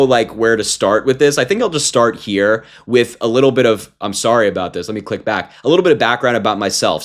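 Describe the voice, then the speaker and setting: A young man in his twenties with a slightly low voice delivers casual political commentary straight to camera. He mocks his critics in a combative, informal way, with plenty of fillers and restarts along the way. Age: 20s. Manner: mocking, combative.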